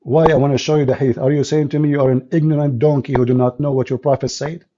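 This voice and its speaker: A man's voice: medium pitch, little pitch movement, quick pace, dark tone, muffled recording. A man hosting a combative call-in debate, speaking blunt, mocking non-native English. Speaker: host of call-in debate show.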